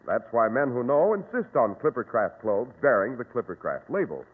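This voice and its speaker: A man's voice: medium pitch, sing-song, moderate pace, breathy edge. A man announcing and hosting in scripted 1940s radio style with a polished salesman's manner. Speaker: radio announcer and sponsor pitchman. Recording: tinny, reverberant old radio recording.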